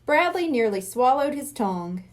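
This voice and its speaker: A woman's voice: high-pitched, very animated, moderate pace. A woman reading a children's storybook aloud as scripted narration. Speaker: library reader of a children's book.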